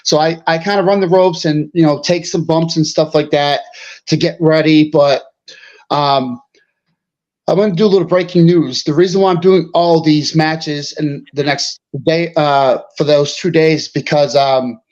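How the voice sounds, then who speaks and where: New guy in his 30s, kinda high voice, lots of um and "and stuff", muffled lo-fi sound. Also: steady tone, a little mellow. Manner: halting, full of fillers and "and stuff". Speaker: wrestler guest. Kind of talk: casual interview answers. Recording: dull lo-fi line.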